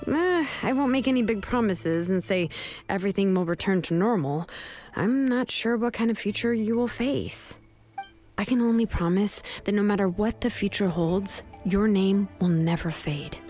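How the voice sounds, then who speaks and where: A woman voicing a laid-back, caring adult woman smith who speaks in a gentle, reassuring way. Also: mid-range voice, natural voice. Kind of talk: video game voice acting.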